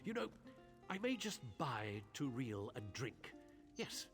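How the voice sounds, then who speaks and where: An actor playing a scheming, haughty silk merchant in a video game, with a theatrical, wheedling and spiteful delivery. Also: male voice, medium pitch, very animated, takes it slowly, fairly bright tone, heavily acted. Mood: sneering, bitter. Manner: theatrical, pleading turning to sneering contempt. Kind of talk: dramatic video game voice acting. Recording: game audio with faint music.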